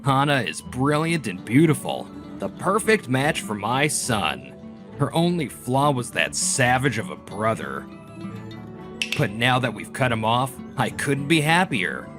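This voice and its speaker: Guy doing a pompous snob of an old corporate boss dad, manga dub, big expressive swings, music behind. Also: mid-range voice, unhurried. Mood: smug. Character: snobbish, pompous older male corporate president, proud father.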